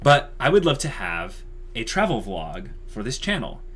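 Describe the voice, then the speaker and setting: A man's voice: medium pitch, big pitch swings, normal speed, a bit bright, husky. Enthusiastic man co-hosting a channel chat. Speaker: YouTube channel co-host. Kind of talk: casual unscripted channel update chat.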